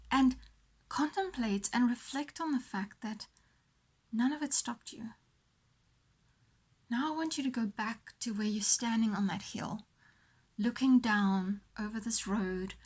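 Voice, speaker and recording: A woman host leading a guided visualisation in a motivational coaching style, speaking deliberately with pauses and repeated phrases. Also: a little high, a little mellow, husky.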